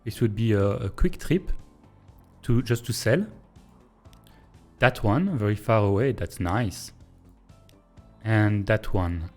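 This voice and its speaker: A man with a French accent, a native French speaker, thinking aloud in a hesitant, pause-filled way as he streams and comments on a train-building game. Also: fairly low pitch, a little mellow, faint music behind. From Belgium. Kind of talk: casual live-stream gameplay commentary.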